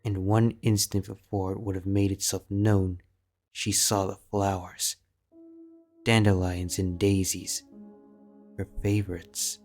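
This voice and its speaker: A man narrating a fan-fiction audiobook in a measured, steady storytelling manner. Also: low-pitched, soft background music.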